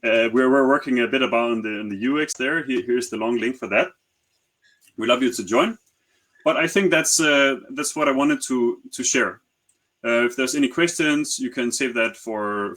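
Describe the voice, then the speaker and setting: A man's voice: mid-range voice, normal speed, a bit bright. Hesitant man stumbling over repeats. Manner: hesitant, many repeated words and uhs. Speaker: startup founder. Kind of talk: webinar talk.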